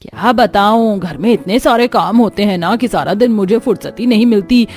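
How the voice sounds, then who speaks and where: A woman's voice: mid-range voice, moderate pace, faint music behind, natural voice. A woman playing a scolding, spiteful aunt with a nagging manner in a cartoon. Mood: falsely weary. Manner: nagging, sharp-tongued. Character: scolding, spiteful aunt who nags her niece.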